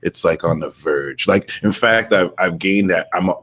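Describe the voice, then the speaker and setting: A man's voice: low voice, moderate pace, a bit bright. A man hosting a casual spiritual talk show, laid-back and affirming, using casual slang. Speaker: podcast host. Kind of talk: casual interview chat.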